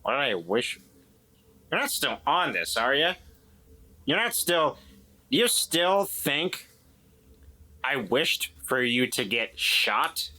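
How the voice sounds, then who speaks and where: A man's voice: medium pitch, big pitch swings, takes it slowly, long pauses, bright, forceful tone, heavily acted. A man playing a defensive, evasive and distrustful man in a tabletop drama, stammering and repeating himself as he explains. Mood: defensive, irritated.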